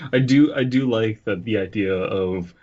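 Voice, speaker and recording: Man, podcast co-host, lowish voice, rambling joking asides, slightly muffled lo-fi sound. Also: lively intonation, slow, darkish tone. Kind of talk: casual comedic chat.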